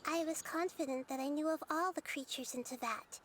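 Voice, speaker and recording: A woman playing a wise, gentle, childlike nature goddess in a video game, poised, curious and thoughtful. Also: sits high, brisk, a little hiss or hum. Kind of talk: video game character dialogue.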